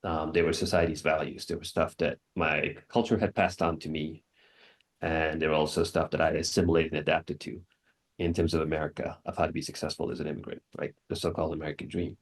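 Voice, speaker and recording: A man in his thirties from Singapore, telling his own story on a panel in a reflective, earnest, measured way. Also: very deep voice, flat delivery. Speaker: panelist, wilderness guide.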